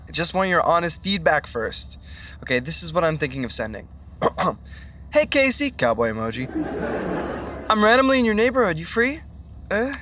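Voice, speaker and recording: A man's voice: a little high, very animated, normal speed, long pauses, fairly bright tone, a little hiss or hum. An actor playing Michael, a nervous young man fretting over texting a girl he likes in a podcast sitcom.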